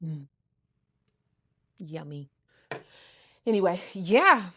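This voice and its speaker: A woman with an American accent telling a story casually, with a sassy, animated, emphatic and slangy delivery. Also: fairly low pitch, very slow, slight background noise. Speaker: storytime show host. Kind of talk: casual storytelling, acting out quoted conversations.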